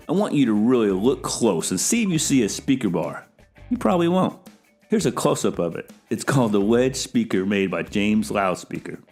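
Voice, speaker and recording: A man hosting a casual, enthusiastic product showcase, speaking to viewers in an upbeat, informal way. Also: fairly low pitch. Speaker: video host, audio installer giving a product tour.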